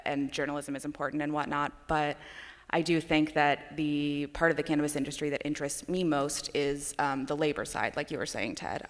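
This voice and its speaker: Earnest low-voiced woman panelist in a hall. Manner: earnest, many fillers. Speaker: panelist, editor. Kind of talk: panel discussion at a conference. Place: large conference hall. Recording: long hall reverb.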